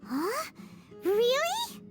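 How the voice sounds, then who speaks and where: A woman's voice: very high-pitched, huge pitch swings, extremely slow, over music, full character voice. A woman voicing a tiny floating fairy-like girl companion who is chatty and childlike, whining and referring to herself by name in a game cutscene. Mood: curious. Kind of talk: video game cutscene voice acting.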